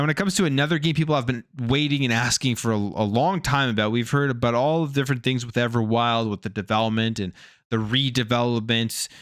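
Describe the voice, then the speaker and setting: A man's voice: mid-range voice, fairly bright tone, clean tone, dry recording, clean studio sound. A man presenting video game news as a host, in a casual commentary style. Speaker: gaming news YouTube host.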